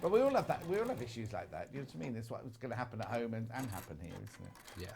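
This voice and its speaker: A British man hosting a live sewing shopping show, chatting in a quick, jokey way. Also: mid-range voice, big pitch swings, gravelly, noisy background. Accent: British English. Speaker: shopping channel presenter. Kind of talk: casual chat while sewing on air.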